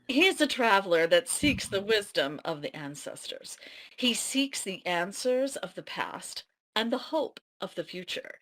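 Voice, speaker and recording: A woman's voice: mid-range voice, a bit bright, poor audio. A woman reading scripted fantasy narration aloud.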